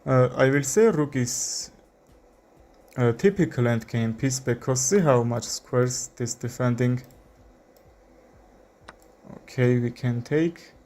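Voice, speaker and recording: A young man with an Armenian accent commentating his own chess game, thinking aloud with frequent fillers and pauses. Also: mid-range voice, darkish tone. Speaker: chess streamer. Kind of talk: live commentary while playing chess.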